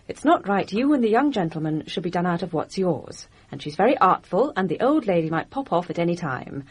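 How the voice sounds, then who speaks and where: An actress playing a composed young upper-class Englishwoman in a radio drama, measured and wry. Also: on the low side, sing-song, breathy edge. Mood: reading aloud, wry. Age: young adult.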